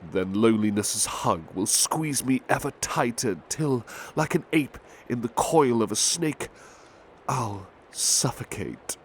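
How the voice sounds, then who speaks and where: A man playing a big-hearted butler, reading poems in a measured, gentle, formal ASMR style. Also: medium pitch, animated. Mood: measured, wistful. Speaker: ASMR roleplay performer. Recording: faint ambient background noise.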